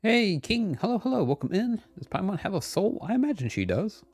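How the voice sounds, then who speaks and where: A man's voice: high-pitched, very animated, fairly quick, darkish tone. A man live-streaming a game, giving playful, rambling, casual commentary as he plays. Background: game music.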